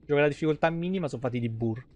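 A man's voice: on the high side, very fast, a little mellow. A man, giving casual, joking, foul-mouthed live-stream commentary. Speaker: video game streamer. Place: small home room, indoors.

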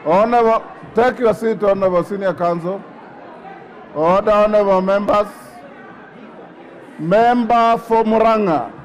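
A man's voice: high voice, unhurried, long pauses, lo-fi sound, a faint crowd. A middle-aged man chairing a rowdy parliament session, sternly calling for order and lecturing members. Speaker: parliamentary speaker. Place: large parliament chamber. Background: noisy chamber of shouting members.

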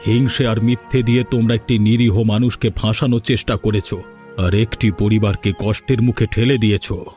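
A man's voice: on the low side, fairly even intonation, a little fast, a little mellow, faint music behind, natural voice. A man voicing a righteous village elder in a cartoon fairy tale, scolding with solemn emphasis. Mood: stern, judging. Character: stern, righteous village elder judging wrongdoers.

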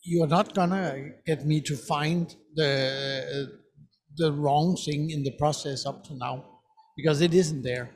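A middle-aged man with a Danish accent speaks as a panelist at an indoor conference stage, earnest and emphatic, doubling back on his words. His voice sits fairly high for a man and has a husky edge, picked up by a stage mic. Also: fairly even intonation, a little slow. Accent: Danish, from the west coast. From Denmark.

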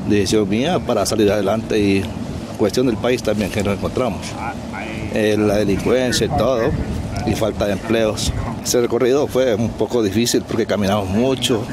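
A man in his forties, speaking hesitantly and searching for words in an outdoor interview into a handheld mic. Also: lowish voice. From Honduras. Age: 40s. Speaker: migrant interviewee. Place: outdoors on gravel ground. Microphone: handheld reporter mic held close. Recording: noisy outdoor field recording.

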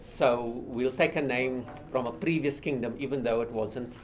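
Older male lecturer, South African accent. Halting, explanatory delivery, recorded at a distance from the mic. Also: mid-range voice, steady tone. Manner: halting, repeating words as he explains. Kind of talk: informal history lecture to a small class. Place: small indoor seminar room. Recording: distant mic with light background noise.

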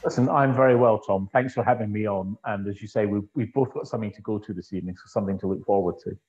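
A Scottish man in his fifties from the northeast of Scotland, chatting as a guest over a video call with an earphone mic and telling his story in a relaxed, anecdotal way. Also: lowish voice, fast. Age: 50s. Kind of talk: conversational interview. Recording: dull lo-fi video call audio.

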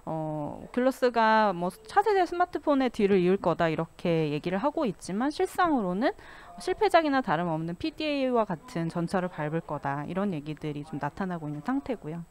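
A middle-aged woman giving a formal, measured expert presentation on a panel, into a handheld microphone through a mask. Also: lowish voice, very animated, darkish tone. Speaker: panelist, consulting company CEO. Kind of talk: formal presentation on industry trends. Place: stage at an expo panel, indoors. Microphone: handheld microphone, speaking through a face mask.

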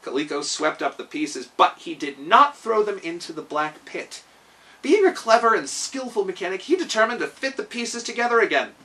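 A man's voice: high-pitched, big pitch swings, moderate pace, bright, forceful tone, recorded from a distance. A man in his thirties reading a children's story aloud in a dramatic, emphatic manner. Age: 30s. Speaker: storyteller and host. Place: small room indoors at home.

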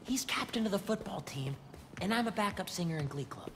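A man's voice: sits high, expressive, brisk, creaky. A cautious, nerdy teenage boy in a video game, resigned in temper. His voice moves up and down a lot, with game noise and clicking behind it. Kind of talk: video game character dialogue.

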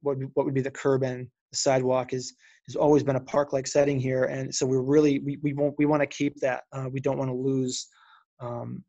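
A man answering questions on a video call, stopping and restarting his sentences often. His voice is gravelly and even in pitch. The sound is dry and close. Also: no echo, muffled recording. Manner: halting, many restarts and fillers. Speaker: city urban forestry official. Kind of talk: unscripted webinar presentation and Q&A.

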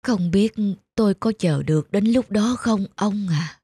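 A woman voicing a frail, gentle old wife in a cartoon, speaking softly and wistfully. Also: mid-range voice, unhurried, close to an everyday voice. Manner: soft, wistful.

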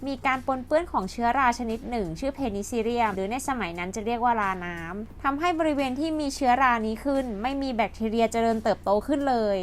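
A woman's voice: on the high side, fast talker, hardly any pauses, soft background music. A woman hosting and narrating a scripted educational piece, explaining politely to her viewers. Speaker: host and narrator.

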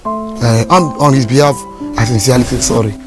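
A man playing a polite, apologetic resident, who smooths things over in a comedy skit. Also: a little high, animated, a little fast, natural voice. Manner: apologetic, formal peacemaking. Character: placating adult male resident, polite. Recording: music bed behind.

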